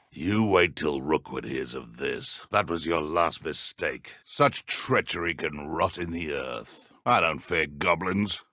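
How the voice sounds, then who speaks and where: A man playing a cruel, sneering British dark wizard poacher in a video game, taunting with mock-polite threats. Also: low voice, creaky, dry recording, studio quality, heavily acted. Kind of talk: video game villain combat barks and idle lines.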